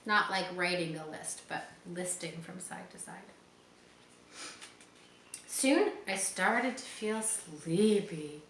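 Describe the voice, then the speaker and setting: Woman reading a children's story, echoey room. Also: mid-range voice. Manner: expressive, explains words to young listeners. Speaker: audiobook reader. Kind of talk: read-aloud children's storytelling with explanatory asides. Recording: roomy echo, faint background noise.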